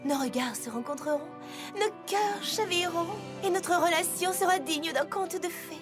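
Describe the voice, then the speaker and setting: A woman's voice: very high-pitched, moderate pace. A woman voicing a vain, elegant female pony in a cartoon dub, with an affected, melodramatic and swooning delivery. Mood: swooning, dreamy. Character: vain, theatrical, elegant female unicorn pony fashion designer. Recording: music behind the voice.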